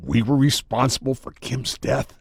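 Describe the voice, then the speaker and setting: A man playing a dim, callous, thuggish crooked cop in an audio drama, speaking in a halting, crude way. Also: mid-range voice, vocal fry, breathy edge, dry recording, studio quality. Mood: scheming, unsure.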